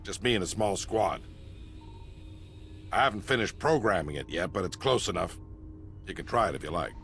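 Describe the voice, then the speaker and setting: An actor playing a gruff, battle-hardened older military commander in a video game, blunt and dry as a mentor. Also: male voice, deep voice, expressive, moderate pace, a bit bright, full character voice. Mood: blunt, measured. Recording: game music behind the voice.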